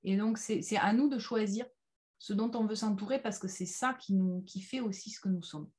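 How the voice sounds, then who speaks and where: A woman leading an informal online personal development workshop, speaking haltingly and leaning on key phrases with everyday examples. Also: medium pitch, darkish tone. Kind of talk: informal interactive online workshop talk with slides.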